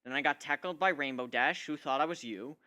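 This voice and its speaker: A man's voice: a little high, fairly quick, bright, forceful tone. A man narrating a piece of fan fiction as an amateur dramatic reading. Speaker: amateur story reader.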